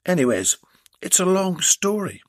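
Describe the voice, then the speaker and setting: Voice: medium pitch, big pitch swings, normal speed, no room sound, studio quality, pitched above the performer's own voice. A reader voicing a tallish, apologetic male plumber in a comic storybook, with an earnest, fawning delivery. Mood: eager. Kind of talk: storybook character voice.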